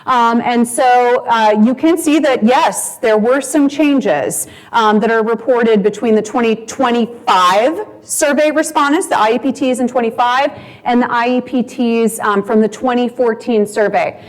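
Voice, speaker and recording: Slightly high-voiced woman presenting data slides, steady and patient, filler-heavy. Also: low-quality recording. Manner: measured, frequent ums, walks through slides. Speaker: conference presenter. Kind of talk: presenting survey results. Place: conference session room.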